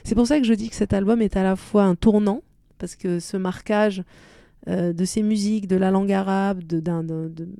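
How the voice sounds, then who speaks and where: Soft-voiced woman, halting thoughtful talk. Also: medium pitch, steady tone, creaky, dry recording, clean studio sound. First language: Arabic. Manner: thoughtful, searching for words with many restarts. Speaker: singer-songwriter guest. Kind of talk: relaxed interview chat.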